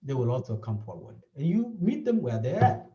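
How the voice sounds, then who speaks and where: South Sudanese man with a lively, earnest voice. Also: medium pitch, brisk. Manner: earnest, explaining, repeats key points. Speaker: therapist. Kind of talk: interview. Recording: dull, echoey, from a distance.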